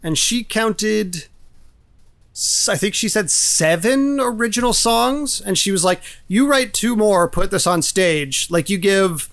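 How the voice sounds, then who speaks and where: A man co-hosting a podcast, discussing film casually with deliberate, analytical phrasing. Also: high-pitched, lively intonation, creaky, fairly bright tone. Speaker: podcast co-host.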